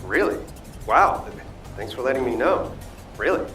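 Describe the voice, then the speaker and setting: A man's voice: mid-range voice, very animated, unhurried, pushed and bright, soft background music, heavily acted. A man voicing a laid-back, sardonic young chef in a video game, speaking casually and expressively. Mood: sheepish, pleased.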